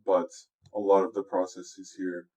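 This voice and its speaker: A man with a low-pitched voice gives a casual software walkthrough. He speaks as a music producer explaining his process, informal and instructive. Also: monotone, unhurried. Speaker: music producer giving a tutorial. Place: indoors, voice-over on a screen recording.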